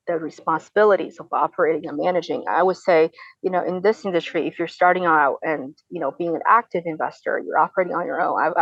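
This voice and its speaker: Muffled, lowish-voiced woman in her twenties. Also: dry recording. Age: 20s. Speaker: podcast guest, real estate investor. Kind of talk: conversational interview answers.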